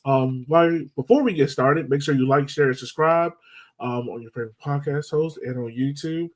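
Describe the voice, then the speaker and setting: A man's voice: mid-range voice, normal speed. An American man speaking as a podcast host in a casual, chatty style about sports.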